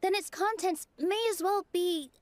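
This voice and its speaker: A woman's voice: extremely high pitch, a little slow, dry recording. A woman voicing an earnest young female dancer in a video game, speaking articulately and firmly. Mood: earnest argument.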